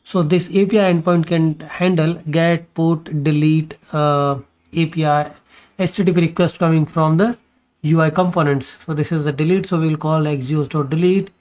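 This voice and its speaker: A man's voice: fairly high pitch, fairly even intonation, moderate pace, a little mellow. Man, programming tutor, screen-recorded coding walkthrough, step-by-step, casual fillers. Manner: talks through code step by step, many fillers and restarts.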